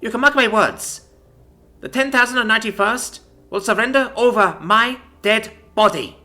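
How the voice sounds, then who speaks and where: A man's voice: sits high, huge pitch swings, racing, a bit bright, voice pushed up, full character voice. A man voicing a bitter, sneering young woman military commander who vents her fury in a profane rant. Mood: furious venting.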